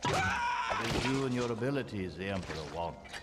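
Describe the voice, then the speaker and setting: A man's voice: medium pitch, wildly swooping pitch, normal speed, very noisy. A man playing a solemn elderly ghostly mentor in a game cutscene, giving grave counsel. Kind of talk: video game cutscene acting.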